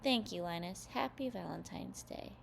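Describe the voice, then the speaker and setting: A coy schoolgirl from a storybook, played by a woman reader. She is teasing and sure of herself, speaking with pronounced creak over faint recording noise. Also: lowish voice, expressive, normal speed. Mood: pleased.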